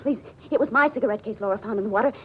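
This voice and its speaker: An actress playing a desperate, cornered murderess in a 1940s radio drama, melodramatic and breathless. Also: female voice, medium pitch, very fast, phone-quality sound, a little hiss or hum, close to an everyday voice. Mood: pleading. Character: desperate, cornered female murderer confessing in panic.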